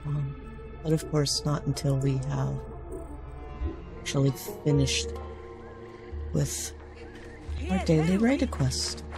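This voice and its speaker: Man with a rough, sinusy voice. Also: fairly high pitch, slow pace, pauses often, music in the background. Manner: chatty, talks to the game and himself. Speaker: gaming channel host. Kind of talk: casual game commentary.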